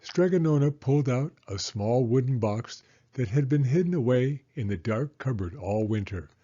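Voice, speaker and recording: A man's voice: medium pitch, animated, moderate pace, warm tone, muffled recording. A man narrating a children's picture book aloud as a storyteller.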